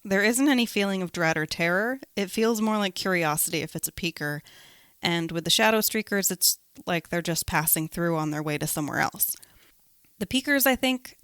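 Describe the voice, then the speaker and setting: A woman's voice: lowish voice, a bit bright, clean tone, dry recording, clean studio sound. A woman hosting a podcast, telling personal stories from a script with casual, conversational asides. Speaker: podcast host.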